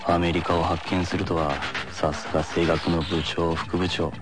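An actor playing a stolid schoolboy athlete, musing in a deliberate, deadpan way in a comic radio skit. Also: male voice, low voice, lightly acted. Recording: loud music over voice.

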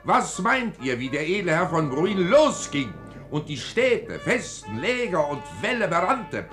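Hearty male storyteller, vintage 1940s radio play; exclamatory, mocking, very animated. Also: high-pitched, unhurried. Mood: boisterous, mocking. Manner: theatrical, exclamatory. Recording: 1940s studio radio recording with music.

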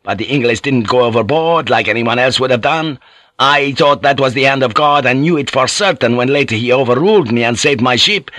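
A man's voice: medium pitch, brisk, pushed and bright, no echo, voice pushed up, lightly acted. A man playing a tormented sea pilot. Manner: impassioned, confessional. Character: tormented Portuguese ship's pilot, rough, guilty. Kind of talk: audiobook character voice.

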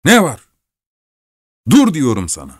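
A man doing a breathless, excitable sleigh driver. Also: a little high, measured pace, no room sound, clean studio sound, voice pushed up, exaggerated voice. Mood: alarmed. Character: cheerful young male sleigh driver, breathless.

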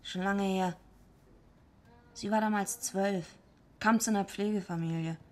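A woman acting in a radio play as a tough, bitter, streetwise heavyweight wrestler, gruff, blunt and slangy. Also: mid-range voice, fairly even intonation, measured pace, darkish tone, close to an everyday voice. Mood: wistful, hurt.

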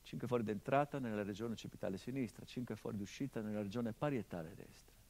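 A middle-aged actor playing a grieving son in a theatrical monologue, with bitter irony that swings from loud outbursts to hushed low tones. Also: male voice, fast talker. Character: grieving son of a murdered man, bitter, haunted. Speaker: stage actor.

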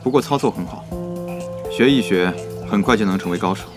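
A man playing a seasoned, analytical gaming guild leader in a Chinese audio drama, speaking in a measured, composed way. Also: lowish voice, close to an everyday voice. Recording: music bed under the voice.

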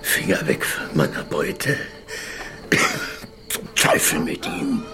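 An actor in a radio play playing a bitter, dying rogue who gasps and curses with feverish, halting contempt. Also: male voice, extremely low pitch, sing-song, heavily acted. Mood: bitter, gloating. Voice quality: gravelly, breathy gasping delivery. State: feverish, near death. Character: bitter dying villain, sneering vicious rogue adventurer.

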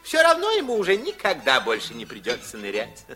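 An actor playing an envious senior official in an old radio play, oily and falsely chummy. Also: male voice, medium pitch, wildly swooping pitch, brisk. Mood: sneering, dismissive. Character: envious male senior councillor, slyly chummy villain. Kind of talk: 1960s Soviet radio play acting. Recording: old radio drama with music.

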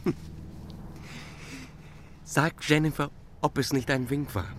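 An actor playing an agitated, possessive lover, by turns tender and domineering, in a radio play with an intense, urgent delivery. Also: male voice, fairly high pitch, steady tone, slow. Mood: earnest, tender. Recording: noisy old radio play recording.

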